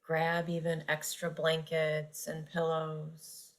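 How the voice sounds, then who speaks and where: A woman guiding a yoga nidra meditation, speaking gently and unhurriedly with long pauses between phrases. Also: deep voice, monotone. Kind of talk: guided meditation narration.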